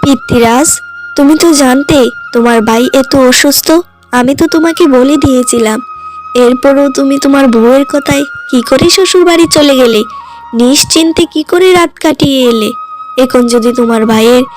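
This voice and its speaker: A woman's voice: high voice, moderate pace, faint music behind, lightly acted. A woman voicing a righteous, indignant wife in a cartoon moral story, scolding with sarcasm. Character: indignant, righteous elder sister-in-law, devoted wife.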